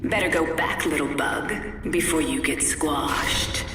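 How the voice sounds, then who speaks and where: A woman's voice: low-pitched, music in the background. An actress playing a menacing female villain, mocking her foe from afar in an echoing video game scene. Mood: mocking menace. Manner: slow, mocking. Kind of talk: dramatic video game voice acting. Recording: echoing hall effect, distant.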